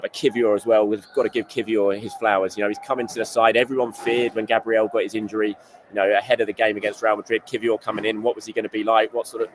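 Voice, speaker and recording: A man hosting a football show, talking fast in a rambling, elated post-match report from a stadium while losing his voice. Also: lowish voice, slight background noise, rough recording. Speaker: football journalist and show host.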